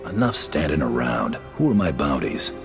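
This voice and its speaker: An actor playing a cold, cocky bounty hunter in a video game, with a gravelly voice and a curt, dry delivery. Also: male voice, rumbling low, big pitch swings, moderate pace, exaggerated voice. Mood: cool, cocky. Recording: reverberant, with background music.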